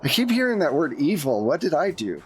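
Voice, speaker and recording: A man's voice: fairly high pitch, sing-song, fairly quick, slight background noise. A man narrating a tabletop roleplaying game as game master, describing scenes and putting questions to players. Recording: music behind the voice.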